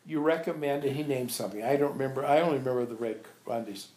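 A man informally reminiscing to visitors as a spiritual teacher, telling animated anecdotes and acting out remembered dialogue. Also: medium pitch, fast. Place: echoey indoor room at an ashram. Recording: roomy, echoey sound.

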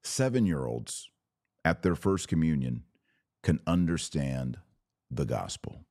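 Deep-voiced man preaching emphatically. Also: lively intonation, creaky, dark tone, no echo, clean studio sound. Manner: emphatic, declarative, deliberate pauses and repetition. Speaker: religious podcast host. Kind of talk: preaching monologue.

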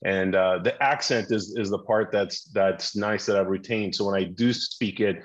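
Middle-aged man, low voice, level delivery, measured storytelling, dull lo-fi call audio. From Iran. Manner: measured storytelling with frequent restarts. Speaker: orthopedic surgeon. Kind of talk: podcast interview guest.

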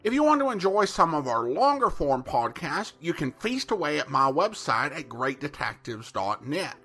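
A man's voice: a little high, sing-song, normal speed, bright, forceful tone, slightly rough, faint music behind. An American man talking as a podcast host, in conversational commentary.

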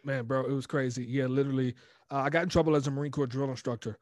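A middle-aged American man telling personal stories casually in an interview, animated and informal, acting out quoted speech. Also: medium pitch, quick pace, no echo, studio quality. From United States, raised in Virginia. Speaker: podcast guest, motivational coach.

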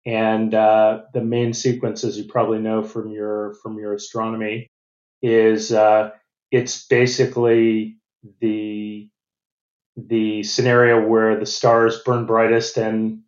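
A middle-aged American man giving measured, businesslike interview answers and often restarting his phrases. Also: on the low side, monotone, roomy sound, muffled recording. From United States. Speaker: venture capital partner.

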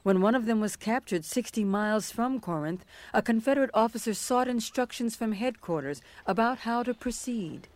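A woman giving scripted historical narration in a measured, formal way. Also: on the high side, animated.

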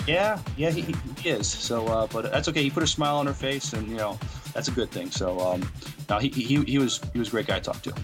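A man co-hosting a podcast, chatting casually in a hesitant, halting way. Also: mid-range voice, music in the background. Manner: hesitant, halting with repeated words. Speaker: podcast co-host. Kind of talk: casual chat.

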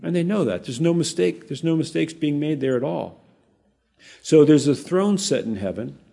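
A male pastor teaching verse by verse in a casual, animated way, with frequent restarts and asides to the congregation. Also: on the high side, normal speed, creaky. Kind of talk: conversational verse-by-verse Bible teaching.

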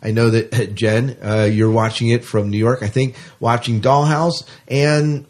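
A man's voice: mid-range voice, fairly even intonation, measured pace, darkish tone. Man co-hosting a rambling casual podcast. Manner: rambling, repeats words and phrases. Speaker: podcast co-host.

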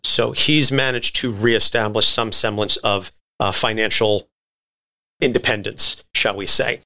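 A man's voice: lowish voice, fairly even intonation, a little slow, bright, forceful tone. A man co-hosting a podcast, explaining comic book history in a conversational, articulate and wordy way. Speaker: podcast co-host.

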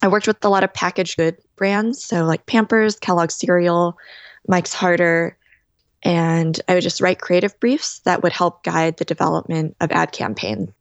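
A woman in her thirties, a DJ, answering interview questions in a measured, explanatory way. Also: on the low side, fairly even intonation, no echo, dull audio. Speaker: DJ and guest.

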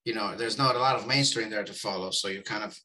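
Israeli guy in his forties, low and bright-toned, loose rambling interview talk. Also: quick pace, rough recording. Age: 40s. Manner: rambling, many fillers and restarts. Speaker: guest. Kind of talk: casual interview chat.

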